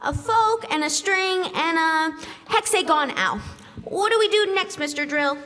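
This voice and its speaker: A very high, bright little girl's voice acted by a young woman, lively and swooping. She is loud, eager and impatient, bossing her playmate about in an old-time radio play. The sound rings in a big hall. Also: a little slow, full character voice. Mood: bossy, impatient. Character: excitable, impatient, bossy little girl at play. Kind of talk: 1940s-style radio drama acting on stage. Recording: echoey hall, faint noise, live stage.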